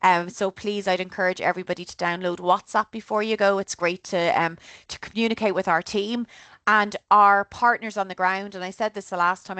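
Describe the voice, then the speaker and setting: Upbeat Irish woman presenter, chatty, middle pitch, close and dry. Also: fairly bright tone, clear, resonant tone, no echo. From Ireland. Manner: chatty and upbeat, often checks in with "okay?". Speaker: travel company presenter. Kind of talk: online group trip briefing.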